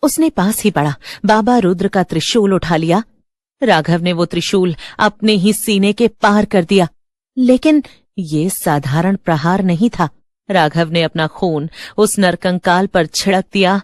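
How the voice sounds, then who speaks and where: Low-voiced woman narrating horror. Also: a little slow, no echo, studio quality. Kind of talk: scripted dramatic horror narration.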